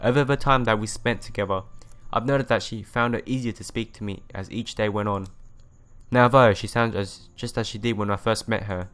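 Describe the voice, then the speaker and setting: Low-voiced man reading a story aloud over music. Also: steady tone, moderate pace, a bit bright, smooth. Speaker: let's play narrator. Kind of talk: reading a visual novel aloud.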